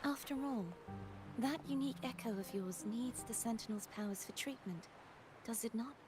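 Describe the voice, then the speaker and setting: A woman's voice: fairly high pitch, lightly acted. A woman playing a mysterious, composed young maiden in a video game, with poised and formal phrasing. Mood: probing, composed. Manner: poised, formal, measured wording. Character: mysterious, composed young maiden who lost her power. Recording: game music behind the voice.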